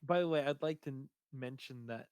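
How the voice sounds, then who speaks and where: A man with a booming voice chatting casually on a stream, rambling and hesitant, with many fillers and restarts. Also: a little fast, smooth, no echo, clean studio sound. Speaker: streamer discussing his game character. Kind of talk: casual chat.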